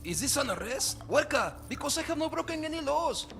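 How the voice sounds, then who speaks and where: An actor playing a vain, excitable, self-justifying singer with a Mexican accent in a video game. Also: male voice, extremely high pitch, a little fast, creaky, soft background music, full character voice. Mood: defensive.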